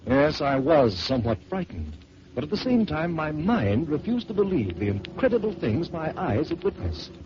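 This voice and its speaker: A man hosting an old radio storytelling show, reading a ghost story aloud in a measured, theatrical way. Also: fairly high pitch, very animated. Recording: muffled old radio broadcast with hiss.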